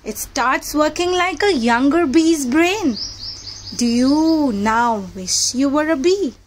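A woman's voice: high voice, lively intonation, slow, slight background noise. A woman narrating a children's read-aloud book in a teacherly manner, addressing young listeners directly.

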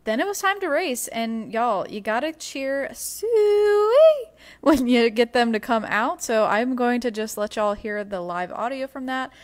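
A young woman narrating a casual vlog voice-over in a chatty, enthusiastic way. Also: on the high side. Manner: chatty and enthusiastic, says y'all. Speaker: vlogger.

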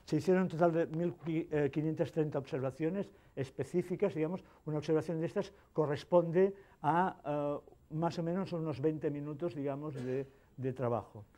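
Male conference presenter from Spain, halting delivery full of fillers, slightly raised pitch, mellow tone. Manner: hesitant, many fillers and restarts. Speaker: conference speaker. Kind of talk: technical conference talk. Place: conference hall.

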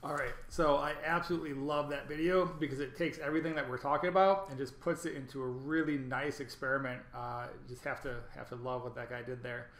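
A man's voice: medium pitch, steady tone, brisk, hardly any pauses, roomy sound. A man presenting an online training, explaining things over slides with frequent ums and restarts. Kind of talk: recorded lecture over slides.

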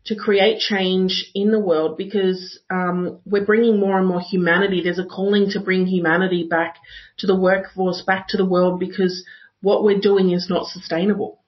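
A woman's voice: mid-range voice, flat delivery, normal speed. A woman speaking earnestly as a guest on a panel conversation. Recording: dull lo-fi audio.

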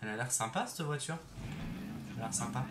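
A young man chatting casually over his gameplay on a headset mic, excitable and throwing in English exclamations. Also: mid-range voice, quick pace. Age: young adult. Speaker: gaming YouTuber. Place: bedroom. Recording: roomy sound with game music behind.

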